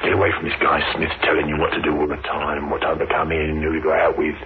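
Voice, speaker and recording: A slick cocky boyfriend in an old radio play, real deep voice, kinda shady, thin tinny sound. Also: male voice, monotone, fast talker. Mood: coaxing. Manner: cocky, coaxing, glib. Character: cocky, smooth-talking young suitor with a sinister edge. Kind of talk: 1960s radio drama acting.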